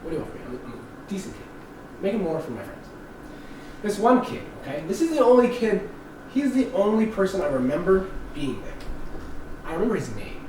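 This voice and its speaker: A young man telling stories in casual chat, animated and laughing often. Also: high-pitched, fairly quick. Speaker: podcast co-host. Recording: echoey room, distant mic, background noise.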